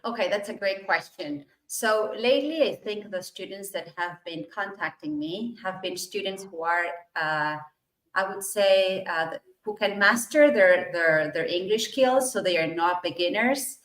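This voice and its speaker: A woman's voice: medium pitch, unhurried, a bit bright, echoey room, low-quality recording. A middle-aged woman from Argentina, an English teacher, answering interview questions fluently and with enthusiasm.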